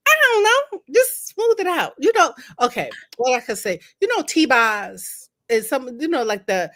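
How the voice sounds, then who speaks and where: A woman's voice: sits high, sing-song, measured pace, a bit bright, no room sound. Northern us lady cohosting a live demo, laughs a lot, trails off, super dry mic. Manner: laughs often, trails off and restarts. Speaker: co-host. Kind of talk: casual live demo chat.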